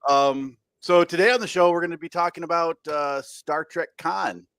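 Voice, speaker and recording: Relaxed, chatty podcast host man. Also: on the high side, normal speed, clean tone, no room sound. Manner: relaxed, chatty, laughs easily. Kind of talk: casual podcast chat.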